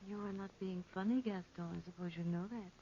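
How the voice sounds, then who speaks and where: A woman's voice: medium pitch, fairly even intonation, fast, dark tone, no room sound, natural voice. An actress playing a fearful, secretive desert woman, pleading and breathless, in 1940s radio drama style. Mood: wary, dismissive. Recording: muffled vintage 1940s radio recording.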